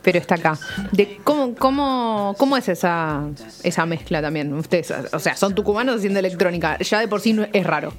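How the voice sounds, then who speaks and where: A woman's voice: mid-range voice, animated, brisk, few pauses. Chatty Argentine woman hosting an interview. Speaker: host. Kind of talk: casual interview chat.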